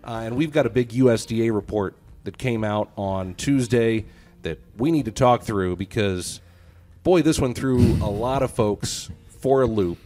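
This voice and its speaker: A man with a hoarse voice, hosting a casual farm podcast and joking with his guests. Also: mid-range voice, normal speed, a little mellow. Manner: joking, loose, self-deprecating. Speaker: podcast host.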